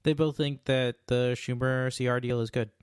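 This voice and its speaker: A man's voice: mid-range voice, steady tone, moderate pace, clean tone, no room sound. A man co-hosting a podcast in casual chat, teasing the others with dry humor. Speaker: podcast co-host.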